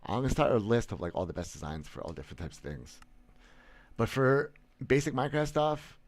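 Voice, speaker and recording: A man's voice: mid-range voice, very animated, a little fast, no room sound. A man in his thirties streaming, talking bluntly and boastfully with many restarts and stammers, seated in a small room on a headset mic. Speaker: gaming streamer. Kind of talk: casual livestream commentary. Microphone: headset, webcam framing.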